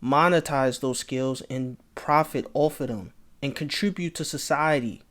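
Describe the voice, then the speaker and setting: A man's voice: medium pitch, unhurried, fairly bright tone. A man hosting a reaction video, talking casually and straight to his viewers, often repeating his phrases. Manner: laid-back, repeats phrases, talks to viewers directly. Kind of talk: casual commentary.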